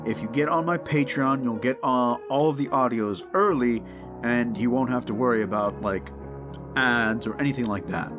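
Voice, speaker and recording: A man's voice: medium pitch, moderate pace. A man hosting his audio roleplay channel, giving a casual, rambling update in an informal, conversational way. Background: instrumental music.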